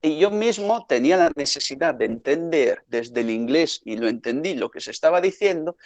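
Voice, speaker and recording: A man, a native Italian speaker with an Italian accent, lecturing off the cuff at a conference in a deliberate, emphatic way. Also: a little high, expressive, darkish tone, dry recording. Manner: deliberate, emphatic, with rhetorical questions and repetitions. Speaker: academic giving a conference lecture. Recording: dull lo-fi audio.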